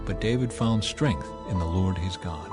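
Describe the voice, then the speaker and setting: Guy reading scripture, steady voice, faint music underneath. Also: low-pitched. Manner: measured, even reading. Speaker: narrator.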